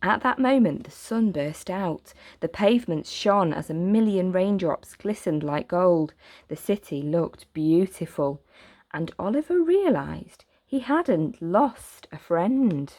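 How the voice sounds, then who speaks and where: A woman reading a children's story aloud as narrator, with gentle, expressive, deliberate phrasing. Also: fairly low pitch, dry recording.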